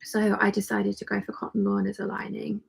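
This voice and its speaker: A woman with a croaky voice, chatting casually and ramblingly as the host of a live hangout. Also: medium pitch, monotone, brisk, a little hiss or hum. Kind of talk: casual livestream chat, reading out viewer comments.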